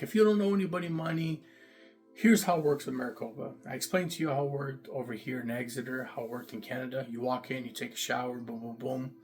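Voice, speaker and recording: Casual man telling jail stories. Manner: loose and repetitive, many fillers, emphatic "boom". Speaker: vlogger recounting jail time. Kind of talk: casual first-person storytelling to camera.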